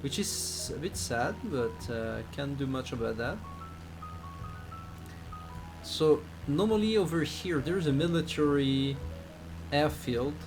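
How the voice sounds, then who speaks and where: Slow-talking man with a Swiss accent. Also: on the high side, lively intonation, music in the background, low-quality recording. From Switzerland. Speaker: flight simulator streamer. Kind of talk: casual live stream chat while playing.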